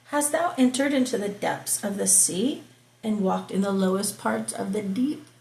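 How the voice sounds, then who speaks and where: A woman's voice: mid-range voice, normal speed, gravelly, a little mellow, reverberant, natural voice, poor audio. A woman paraphrasing God, casual and boastful. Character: God, a booming, confident male deity.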